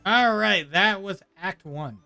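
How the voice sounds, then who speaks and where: A man with a somewhat high voice chats casually as he streams a game. His commentary is informal and reactive, with faint music behind it. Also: slow pace, vocal fry, sharp tone, smooth. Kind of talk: casual live gameplay commentary.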